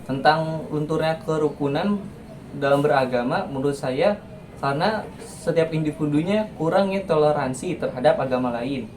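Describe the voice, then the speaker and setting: An eighteen-year-old young man giving brief, measured answers in an interview. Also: a little high, darkish tone, slight background noise. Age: late teens. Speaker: interviewee, student. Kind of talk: casual spoken answers.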